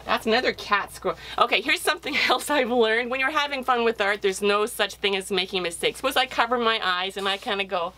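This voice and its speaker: Middle-aged woman, playful, higher-pitched voice. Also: a little fast, hardly any pauses, sharp tone. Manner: playful, encouraging, talking in bursts while drawing. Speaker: children's author-illustrator giving a drawing demonstration. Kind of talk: improvised art lesson for children, thinking aloud.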